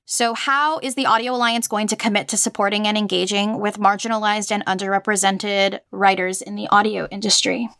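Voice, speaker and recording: A woman hosts a podcast interview in a conversational style. Her voice has an average female pitch. The recording is dry, with almost no room sound. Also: fairly even intonation, brisk, gravelly, hardly any pauses, a bit bright, no room sound.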